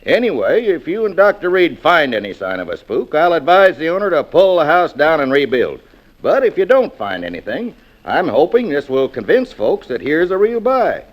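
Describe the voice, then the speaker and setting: An informal, skeptical American property agent in an old-time radio drama. His voice sits a little high with very animated pitch, yet he talks in a plain, matter-of-fact way. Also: moderate pace, dull audio. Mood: brisk, dismissive. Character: plain-spoken, skeptical real estate agent man. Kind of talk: 1940s radio drama acting.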